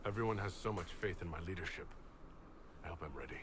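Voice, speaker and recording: An actor playing an earnest, burdened fantasy leader in a video game, speaking with understated gravity. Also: male voice, deep voice, expressive, fast. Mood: grave. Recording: echoey, quiet game audio, faint noise.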